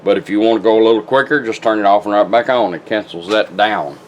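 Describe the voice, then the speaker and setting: A man's voice: on the low side, quick pace, muffled recording, slight background noise. A man talking casually and plainly as he demonstrates a product step by step, in a folksy, instructional way. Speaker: car radio restorer demonstrating a product.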